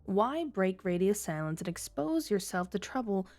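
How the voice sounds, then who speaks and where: A woman's voice: medium pitch, big pitch swings, moderate pace, darkish tone, no echo, soft background music. A woman playing a flirty, teasing female criminal who toys with a detective in a coy, sultry ASMR roleplay. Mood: seductive, teasing. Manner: coy, sultry, playful innuendo.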